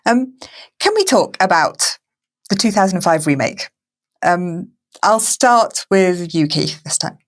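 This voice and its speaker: A woman's voice: lowish voice, very animated, slow. A woman hosting a podcast, casually moderating a discussion. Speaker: podcast host.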